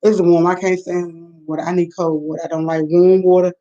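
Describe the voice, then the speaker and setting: A woman's voice: fairly low pitch, flat delivery, a little fast, dark tone. A woman, rambling through a late-night live chat and reacting to viewers' comments. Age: 50s. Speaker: livestream host. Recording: dull lo-fi sound.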